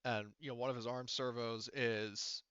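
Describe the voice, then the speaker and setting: A man with a bright, forceful voice runs a tabletop game as game master. He explains rules in a halting, careful way. The sound is dry and close, slightly dull. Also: mid-range voice, fairly even intonation, smooth, no echo.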